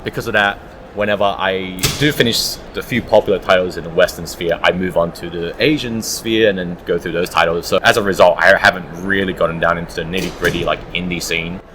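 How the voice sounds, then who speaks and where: A man's voice: deep voice, steady tone, brisk, noisy background. A man answering interview questions conversationally as an audiobook producer at a live event.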